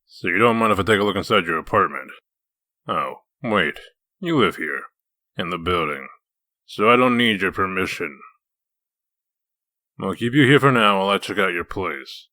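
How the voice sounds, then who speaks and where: Man playing gruff sardonic cop, deep voice, dry needling manner, close dry recording, comic dub. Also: expressive, normal speed, pauses often, sharp tone, no room sound. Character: gruff, sardonic male wolf detective in human form. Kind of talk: comic dub dramatic acting.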